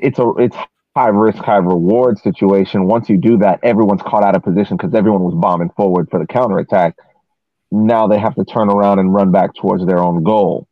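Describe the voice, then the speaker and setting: A man's voice: fairly low pitch, gravelly, dry recording. A man co-hosting a soccer podcast, giving casual tactical analysis over a narrow phone-like connection.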